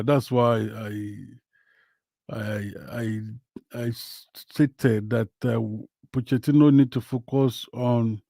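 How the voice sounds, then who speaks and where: Nigerian male streamer, slow-paced, hesitant, chatting about football, dry room. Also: mid-range voice, warm tone, dry recording. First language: Yoruba. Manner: slow, hesitant, many fillers. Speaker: livestream host. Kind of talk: casual football talk livestream. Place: home, in Western Australia.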